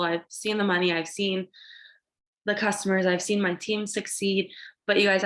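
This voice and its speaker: A young woman sounds congested and stuffy from allergies as she speaks over a video call. She rambles eagerly, keeps turning to her listeners with "you guys," and pushes through with upbeat motivational energy. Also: mid-range voice. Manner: excited, rambling, addresses "you guys" often. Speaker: direct sales team leader.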